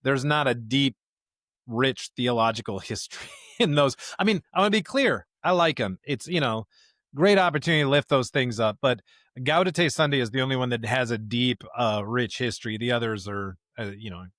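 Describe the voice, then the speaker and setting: Guy podcast host chatting about bible stuff, mid pitch, emphatic, stops and starts, really dry recording. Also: normal speed, fairly bright tone, no echo. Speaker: podcast co-host, member of clergy. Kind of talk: conversational discussion of scripture.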